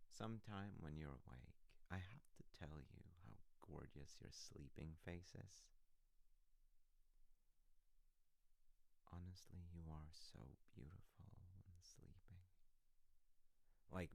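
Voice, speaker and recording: A very low-voiced man acting as a doting, cheeky husband speaks slowly and intimately, close and dry. His delivery swings widely in pitch, teasing and sheepish in the manner of a bedside ASMR roleplay. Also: gravelly, no echo, exaggerated voice. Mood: tender, hushed. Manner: teasing, halting, hushed stretches breaking into animated bursts. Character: sassy, loving husband confessing sheepishly to his sleepy wife.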